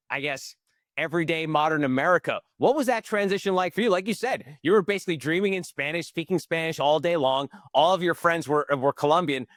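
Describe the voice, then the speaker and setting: A man's voice: a little high, fairly bright tone, no echo, clean studio sound. A man hosting a conversational interview, speaking in a casual, colloquial way. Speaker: podcast host interviewing a guest.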